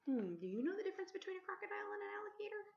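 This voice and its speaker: Animated woman reading a storybook aloud. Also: very high voice. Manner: animated, pausing to ask listeners questions. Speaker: teacher reading a picture book aloud. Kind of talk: expressive children's storybook read-aloud. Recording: dull lo-fi sound.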